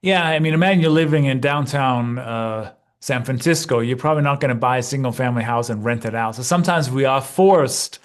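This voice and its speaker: A man's voice: medium pitch, brisk, hardly any pauses. A man in his fifties, originally from Denmark, chatting easily as an interview guest and peppering his talk with "you know" and "right?". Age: 50s. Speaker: guest, real estate investor and coach. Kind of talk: casual interview conversation.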